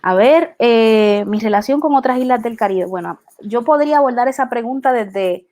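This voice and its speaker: A woman talks about her work in a reflective conversation. Her voice is somewhat high. She talks in a thoughtful way, pausing often and restarting her sentences. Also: a little mellow. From Puerto Rico. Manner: thoughtful, many hesitations and restarts. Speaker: interviewed artist.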